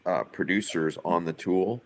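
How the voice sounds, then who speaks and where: A man talking casually in a business meeting about plans, hesitant and halting, often repeating the starts of words. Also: deep voice. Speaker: live event producer planning a show.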